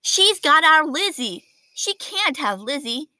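An astonished, excitable schoolchild from a picture book, acted out by a woman reader. The voice sits high and bright, full of exclamations and disbelieving questions. The sound is close and dry. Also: takes it slowly, no echo, heavily acted. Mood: alarmed. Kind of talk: children's picture book read aloud.

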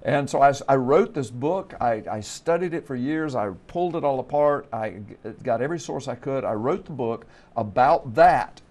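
Animated middle-aged man telling a story. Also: mid-range voice, moderate pace, hardly any pauses. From United States Gulf Coast. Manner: animated storytelling, gesturing with his hands. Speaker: pastor and author. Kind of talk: studio panel discussion. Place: TV studio set.

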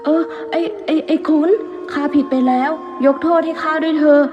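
Woman acting a jealous, rough-talking village man. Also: high voice, little pitch movement, normal speed, music in the background, pitched above the performer's own voice, close to an everyday voice. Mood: terrified pleading. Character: jealous, murderous village man, scheming and obsessive. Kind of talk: dramatised Thai folk storytelling. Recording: muffled, long reverb.

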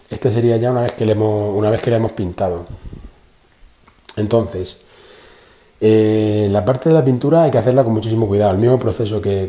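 Male host, mellow low voice, echoey garage phone audio. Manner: chatty, repeats himself, frequent fillers. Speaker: car channel host. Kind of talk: casual how-to explanation. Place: indoor garage. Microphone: phone held in one hand.

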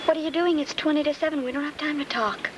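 A woman's voice: sits high, steady tone, fast, very noisy, close to an everyday voice. A young woman playing a frank, impatient teenage girlfriend in a 1950s film, with a direct manner. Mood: impatient, firm. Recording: old film soundtrack with music behind.

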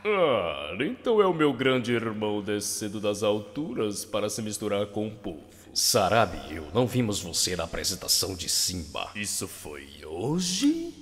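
A man's voice: fairly low pitch, wildly swooping pitch, moderate pace, pitched below the performer's own voice. A man voicing a sly, bitter villain lion, sardonic and theatrical, with Brazilian speech, in a cartoon fan dub. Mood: mock-innocent sarcasm. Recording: long reverb tail.

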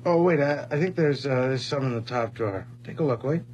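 A man's voice: medium pitch, fast talker, close to an everyday voice. An actor playing a casual, wisecracking friend who placates a troubled man in a 1980s radio horror drama. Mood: joking, casual. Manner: casual, reassuring, sometimes exasperated. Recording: noisy, humming old radio broadcast recording.

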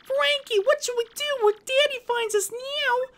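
A woman's voice: extremely high pitch, measured pace, fairly bright tone. A woman voicing a melodramatic young mob boss's daughter, passionate, haughty and defiant. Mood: indignant. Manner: dramatic, haughty, pleading.

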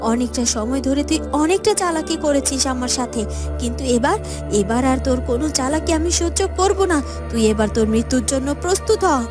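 A fierce magical heroine from a cartoon fairytale, threatens in a high voice. She is commanding and vengeful, and music plays behind her. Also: expressive, a little fast. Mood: menacing. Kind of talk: cartoon dubbing.

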